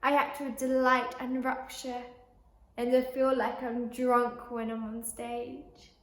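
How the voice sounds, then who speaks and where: A woman playing a weary, wounded young actress in a halting, drifting stage monologue. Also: a little high, flat delivery, a bit bright, reverberant. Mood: fragile resolve. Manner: halting, repeating, drifting between thoughts. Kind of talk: stage monologue, classic tragic drama.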